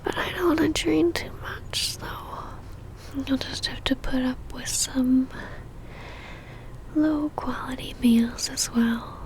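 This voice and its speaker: A possessive female vampire, acted by a woman, whispers in an intimate ASMR roleplay. Her voice is high and unhurried, teasing and seductive. A soft menace sits under every obsessive, adoring word. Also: poor audio.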